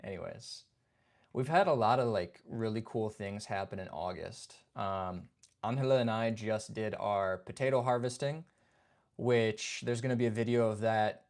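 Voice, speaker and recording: A man's voice: lowish voice, moderate pace, a little mellow. A young man hosting a casual livestream chat. He reads viewer comments, greets people by name and keeps saying "man" and "dude". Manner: laid-back, chatty, says "man" and "dude". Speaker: livestream host.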